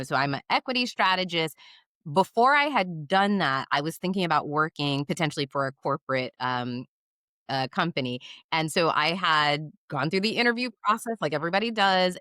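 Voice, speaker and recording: A woman hosting an interview, asking thoughtful questions and speaking directly to her listeners in a measured, reflective way. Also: low voice, sharp tone, no echo. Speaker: host, equity strategist. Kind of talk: conversational interview.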